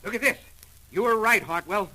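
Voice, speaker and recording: Excitable, high-voiced police doctor. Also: male voice, moderate pace, exaggerated voice, poor audio. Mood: excited, urgent. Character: excited male police doctor urgently reporting a discovery. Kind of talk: 1940s radio crime drama acting.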